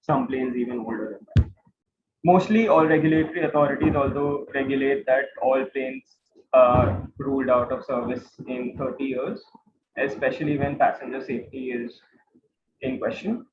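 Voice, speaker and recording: A man's voice: mid-range voice, normal speed, background noise. Echoey, phone-like Indian man teaching. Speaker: instructor, guide. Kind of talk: informal classroom lecture with questions to students. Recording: roomy, tinny phone-like online class audio.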